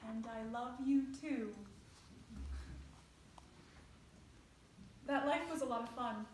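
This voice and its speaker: Wistful, weary lover played by a woman, high register, earnest staged reading, faint noise. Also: normal speed, a little mellow, lightly acted. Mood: fond. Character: weary, loving reincarnated soulmate, woman, wistful and dreamy. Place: indoor stage. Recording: very echoey room, faint noise.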